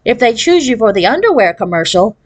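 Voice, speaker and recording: A woman's voice: on the high side, big pitch swings, fairly quick. A woman reading a storybook as a bossy, pushy mother talking to her young daughter. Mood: bossy, impatient.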